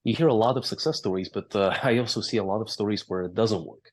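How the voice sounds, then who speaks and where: A man's voice: lowish voice, fast talker. A man in his thirties from Latvia with a Latvian accent, telling his story as a guest in a casual interview, fluent with frequent "uh" and "right?". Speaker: company founder, interview guest.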